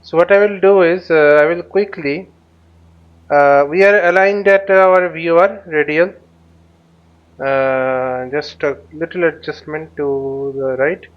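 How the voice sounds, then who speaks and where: Higher-voiced man with a clear, smooth tone. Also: lo-fi sound. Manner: halting, repeats and rephrases phrases. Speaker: flight simulator instructor and channel host. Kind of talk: live tutorial commentary while flying a simulator.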